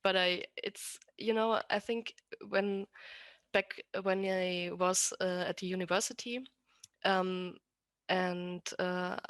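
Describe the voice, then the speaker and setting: A woman's voice: lowish voice, a bit bright, no room sound. A woman with an Austrian accent chatting casually as an interview guest, often restarting and correcting herself as she explains. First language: German. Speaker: podcast guest, rigger.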